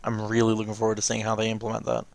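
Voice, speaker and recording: A man's voice: lowish voice, completely flat delivery, racing, vocal fry. Chatty man giving casual fan commentary. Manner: chatty, fannish. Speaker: let's watch commentator.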